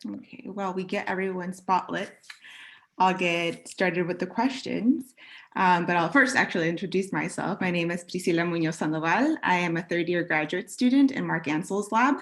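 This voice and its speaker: A graduate student in her twenties moderating an online panel, soft-spoken and hesitant, with many ums, heard over a video call. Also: lowish voice, moderate pace.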